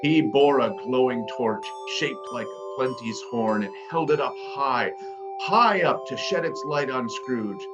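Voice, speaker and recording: A man's voice: mid-range voice, expressive, a little slow, over music. A man narrating a scripted story in a dramatic storytelling style.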